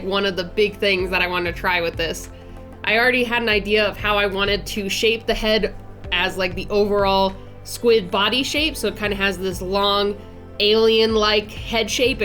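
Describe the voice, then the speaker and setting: A young woman in her twenties narrates her art vlog in a relaxed, friendly way, her voice noticeably nasal from a lingering cold. She rambles cheerfully with plenty of ums and self-corrections. Soft music sits quietly underneath. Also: mid-range voice. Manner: chatty, upbeat, many fillers and restarts. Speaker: art channel host.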